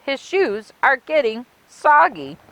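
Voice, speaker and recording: A woman reading a children's picture book aloud as a library story time host, with expressive, deliberate storytelling. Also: high-pitched, low-quality recording.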